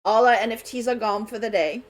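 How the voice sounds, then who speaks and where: A woman's voice: a little high, fairly quick, a crowd in the background. A woman hosting a radio show in casual, unscripted chat, talking in a chatty, rambling way.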